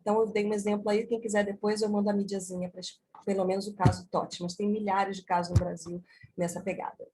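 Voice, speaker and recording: Woman author, fast and animated, presenting over a video call with a faint noise floor. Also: mid-range voice. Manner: energetic, conversational, many asides. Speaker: book author presenting a chapter. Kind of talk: online book launch talk.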